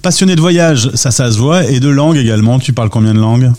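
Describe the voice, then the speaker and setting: A man's voice: medium pitch, fast, a little mellow. A man hosting a radio podcast from a studio, chatty and upbeat as he introduces his guest. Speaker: podcast host. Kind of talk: radio interview.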